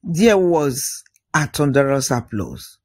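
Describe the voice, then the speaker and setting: A man narrating an African folktale as an oral storyteller, with dramatic phrasing, pauses and musing interjections, in a husky voice. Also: mid-range voice, big pitch swings.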